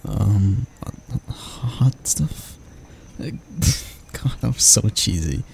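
A man playing a grumpy but soft-hearted barista, flustered and flirty, stammering and teasing in a romantic ASMR roleplay. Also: lowish voice, big pitch swings, extremely slow, gravelly, a little hiss or hum, heavily acted. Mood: bashful flirting.

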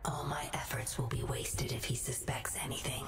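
A woman's voice: deep voice, flat delivery, moderate pace, whispered, soft background music, natural voice. An actress playing a cunning young woman double agent, teasing and coolly commanding. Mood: whispered.

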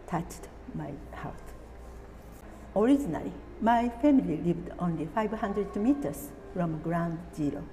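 Elderly woman whose first language is Japanese, slow careful delivery, mellow tone, reverberant room. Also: on the low side, a little hiss or hum. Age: 80s. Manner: slow, careful, deliberate reading from notes. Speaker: atomic bombing survivor. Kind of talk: reading a prepared testimony. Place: indoor room, seated at a table.

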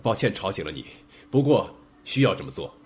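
A man's voice: lowish voice, big pitch swings, a little fast, darkish tone, a little hiss or hum. An actor playing an earnest, brusque male police detective in a radio drama, speaking tersely. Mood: apologetic, restrained. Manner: urgent, questioning, terse. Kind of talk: Mandarin radio drama acting.

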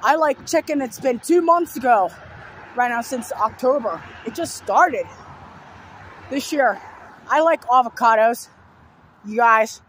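A hoarse young man with a sore throat rambling through repeated simple lists in a casual vlog, filmed outdoors beside a road on a phone held at arm's length. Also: extremely high pitch, expressive, unhurried, pauses often, a bit bright. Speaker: vlogger. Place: outdoors on a fenced overpass beside a busy road. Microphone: phone held low at arm's length. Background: faint passing traffic.

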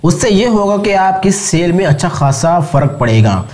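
A man with a Pakistani accent narrates an explainer as its host. His voice is high for a man. He speaks straight to the viewer in an instructive, scripted voice-over style. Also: sing-song, moderate pace, a little mellow. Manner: addresses viewers directly, instructive. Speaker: video host.

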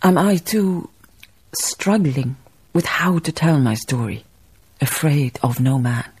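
Husky, slow-speaking melancholy Danish baroness. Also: female voice, low-pitched, a little mellow, exaggerated voice. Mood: wistful. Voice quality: husky, breathy, creaky. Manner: slow, musing, literary. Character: Karen Blixen, reflective, melancholy Danish baroness recalling her life. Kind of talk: radio drama narration.

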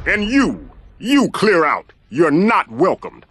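An actor playing a bossy, no-nonsense companion to a hero in a cartoon game. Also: male voice, sits high, wildly swooping pitch, slow pace, noisy background, exaggerated voice. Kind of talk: animated video game cutscene acting.

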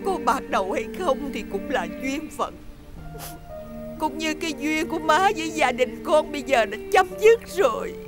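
An actress playing a gentle, self-sacrificing mother who speaks softly and tearfully. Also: high-pitched, expressive, normal speed. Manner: soft, tearful pleading. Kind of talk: stage drama acting. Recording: music behind the voice.

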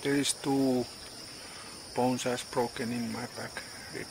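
A man in his forties vlogging casually outdoors on a farm, speaking in halting English and searching for words, recorded on a handheld phone. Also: mid-range voice, flat delivery, background noise. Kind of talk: casual vlog narration. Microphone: phone camera at arm's length. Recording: outdoor ambience with insects and animals.

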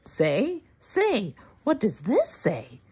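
A woman reading a rhyming picture book aloud for young children, word by word, with deliberate expression. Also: mid-range voice, husky. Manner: deliberate, word-by-word, expressive delivery for early readers. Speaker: children's read-along host.

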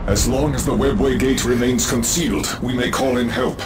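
An actor playing a proud alien elf war leader in a video game, giving urgent orders in a formal, commanding way. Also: male voice, mid-range voice, fairly even intonation, normal speed, loud music behind the voice, close to an everyday voice. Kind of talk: video game battle dialogue.

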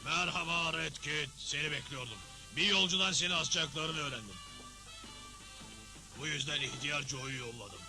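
A man voicing an indignant, excitable old frontier judge in a western cartoon dub, with a blustery, emphatic delivery. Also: a little high, normal speed, over music, lightly acted. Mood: calm, friendly.

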